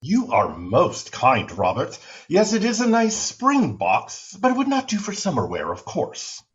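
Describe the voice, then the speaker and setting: Lisping, swooping Regency viscount. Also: male voice, fairly high pitch, huge pitch swings, measured pace, distant mic, muffled recording. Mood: mild, fussy. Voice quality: slight lisp. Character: shy, mild-mannered, eccentric Regency viscount. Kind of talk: radio drama acting.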